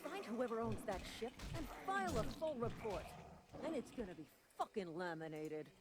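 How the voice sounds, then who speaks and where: An actor playing a wounded, officious frontier guard in a video game, folksy and earnest, reciting company patter in a drawl. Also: male voice, sits high, lively intonation, normal speed. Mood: resolute. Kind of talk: video game character acting.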